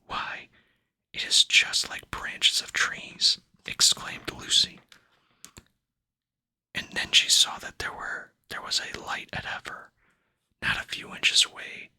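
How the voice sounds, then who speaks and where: Male ASMR reader for children's fantasy: fully whispered, bright airy hiss, gentle unhurried phrasing, close mic. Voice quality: breathy unvoiced whisper. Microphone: close microphone.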